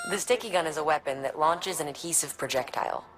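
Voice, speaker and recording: A woman playing a calm, practical equipment expert, explaining things matter-of-factly in a video game. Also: deep voice, fast talker, lightly acted. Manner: matter-of-fact, instructive.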